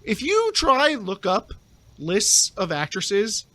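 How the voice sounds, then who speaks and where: A man with a high voice chats as a podcast guest. The sound is dry, with no room echo. His delivery is casual and conversational. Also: wildly swooping pitch, takes it slowly, bright, forceful tone. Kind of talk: casual chat.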